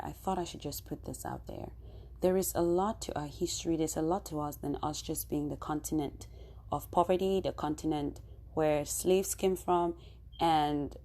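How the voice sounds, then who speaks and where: Cameroonian-accented woman, low and mellow, earnest conversational vlogger. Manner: earnest, conversational, frequent "you know" asides. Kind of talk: personal storytelling to camera.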